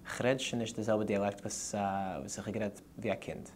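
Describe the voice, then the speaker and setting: A man with a Ukrainian Yiddish accent talks in a relaxed conversational way, pausing often to find his words. His voice sits on the low side, with a faint hum of noise in the background. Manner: halting, searching for words. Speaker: interviewee. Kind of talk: casual conversation.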